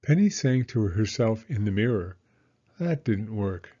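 A man narrating a children's story as a storyteller, in a measured, expressive manner. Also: on the low side, lo-fi sound.

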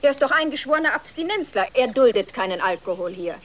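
A woman playing a strict, proper farm wife and mother in a German-dubbed children's film, speaking firmly and briskly. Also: on the high side, lively intonation, a little hiss or hum, natural voice.

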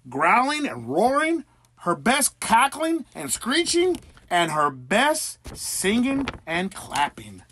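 Male storybook reader, theatrical and exaggerated, hugely animated, unhurried pace. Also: high voice, breathy edge. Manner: theatrical, exaggerated, deliberate delivery. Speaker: children's story narrator. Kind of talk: animated scripted picture-book reading.

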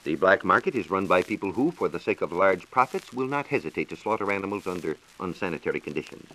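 Male American science reporter, 1940s radio. Lowish voice, highly varied intonation, formal and explanatory, hissy old disc. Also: lively intonation, quick pace, bright, forceful tone, low-quality recording. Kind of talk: 1940s scripted educational radio talk. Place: radio studio.

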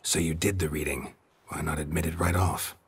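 A brooding monster hunter from a game, super low raspy voice, terse and stoic. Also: male voice, steady tone, normal speed, exaggerated voice. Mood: dry, matter-of-fact. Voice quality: very low, husky rasp. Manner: terse, understated. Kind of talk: video game dialogue acting.

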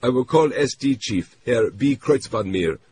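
A man's voice: medium pitch, expressive, measured pace, a little hiss or hum, pitched above the performer's own voice, close to an everyday voice. Man playing a clipped, formal Japanese official. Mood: firm, urgent. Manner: clipped, formal phrasing, shouting in anger.

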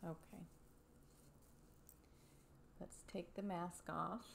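A woman in her thirties speaking softly and gently in an ASMR personal-attention roleplay, close up in a room at home. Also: lowish voice, steady tone, very slow, creaky, background noise. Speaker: ASMR host playing a barber giving a facial. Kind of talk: soft-spoken ASMR roleplay.

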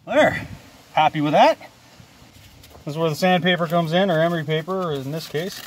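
A man's voice: a little high, a little fast. A man hosting a hands-on repair vlog, chatting casually and folksily to viewers, with wry jokes and muttered asides. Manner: folksy, wryly joking, muttering asides to himself. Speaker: host of a do-it-yourself repair vlog. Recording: loud clattering and clicking work noise.

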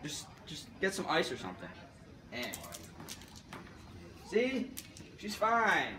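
Young man acting self-absorbed male student, amateur comedy skit, deadpan, casual, far from the mic, very noisy room. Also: fairly high pitch, takes it slowly, full character voice. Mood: flustered. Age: young adult. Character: self-absorbed high school student torn between bickering inner voices. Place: school stairwell, hallway and gym. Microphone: camera mic at a distance. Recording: echoey school halls, distant mic, loud room noise.

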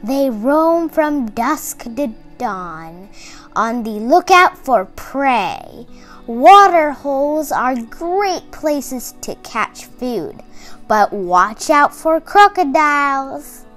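A young girl reading a picture book aloud for children with careful, expressive, emphatic phrasing. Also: sits high, very slow, soft background music. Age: child, about 8 to 11. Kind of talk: expressive read-aloud for kids.

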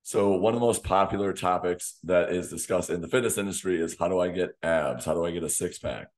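A man in his thirties, a fitness coach hosting a solo podcast, slightly hoarse from losing his voice, talking in a direct coaching way in a small home gym on a desk mic. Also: low-pitched, brisk. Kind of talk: solo podcast talk to camera. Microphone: desk condenser mic in front of him.

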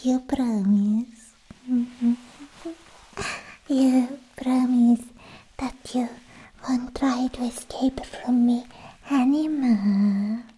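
A young woman playing a sweetly possessive, obsessed alien girlfriend in a whispered, coaxing ASMR roleplay, speaking close to the mic in halting phrases. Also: on the high side, fairly even intonation, dark tone, exaggerated voice, rough recording. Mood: mildly reproachful. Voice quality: breathy, mostly whispered. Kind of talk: soft-spoken ASMR roleplay. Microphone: close ASMR microphone.

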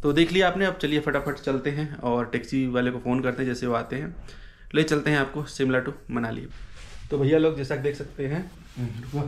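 A man's voice: medium pitch, fairly quick. A man talking casually to viewers as a travel vlogger, informal and colloquial. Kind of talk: casual vlog chat to camera.

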